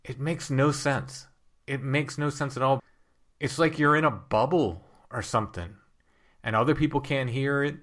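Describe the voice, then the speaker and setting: A man's voice: mid-range voice, normal speed, a bit bright. A man hosting a chat show who speaks emphatically and repeats points. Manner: conversational, emphatic, repeats himself. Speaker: host. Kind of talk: casual interview chat.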